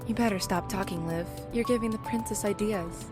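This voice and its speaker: A woman's voice: mid-range voice, fairly quick, over loud music, natural voice. A woman playing a cynical, sardonic young woman in an audio drama, with a dry, blunt manner.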